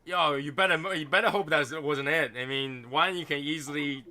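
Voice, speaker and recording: A man's voice: a little high, steady tone, normal speed, bright, forceful tone. A man in his thirties from Boston hosting a casual live stream chat in a rambling, repetitive way. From Boston, United States. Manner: rambling, repeats himself. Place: small foam-padded home studio.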